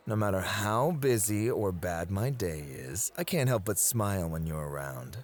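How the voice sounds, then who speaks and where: A man plays a doting, courteous club host in an ASMR romance. He speaks slowly and sweetly, with a polite manner and an animated, swooping melody in an average male register. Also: medium pitch. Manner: sweet-talking, polite, soft laughs and sighs. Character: affectionate, courteous male club host, gently possessive, motivated rival.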